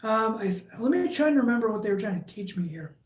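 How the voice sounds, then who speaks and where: A woman with a Michigan accent hosting a casual live stream, chatting with viewers in a relaxed, halting way with lots of fillers and restarts. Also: fairly high pitch. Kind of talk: casual live chat, reading viewer comments. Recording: echoey room.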